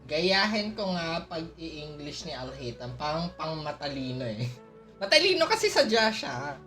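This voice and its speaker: A woman's voice: low-pitched, sing-song, moderate pace, a bit bright, faint music behind. A woman with a Filipino accent giving playful, reactive game commentary as she streams. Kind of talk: casual playthrough commentary mixing Tagalog and English.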